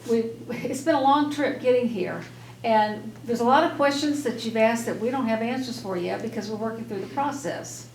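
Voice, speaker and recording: A soft-spoken woman on city council, addressing residents at a public meeting in an earnest, persuasive way that rambles a little. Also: a little high, a little hiss or hum. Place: public meeting room, indoors. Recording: echoey meeting room.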